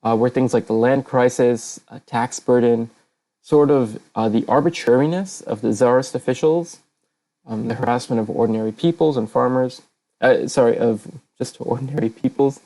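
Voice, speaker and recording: Guy presenting slides online, lots of uh and um, corrects himself a lot, low mellow gravelly voice. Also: moderate pace, rough recording. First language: not Kazakh. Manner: hesitant, many fillers and self-corrections. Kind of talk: casual slide lecture. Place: online voice channel talk.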